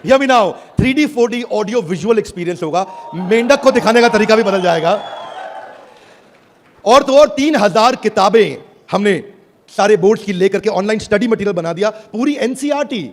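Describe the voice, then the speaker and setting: A man giving a high-energy stage presentation at a product launch, theatrical and calling out to the audience for replies, swinging between booming and hushed delivery. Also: animated, normal speed, a little hiss or hum. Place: stage before a live audience.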